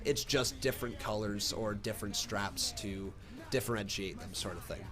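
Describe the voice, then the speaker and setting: Stuffy-nosed male stream host, casual chat mixed with excited ringside calls. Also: lowish voice, moderate pace, over music. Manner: chatty, thinks aloud, bursts into excited play-by-play. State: congested from a cold. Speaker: live stream reaction host. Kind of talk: casual live reaction chat and commentary.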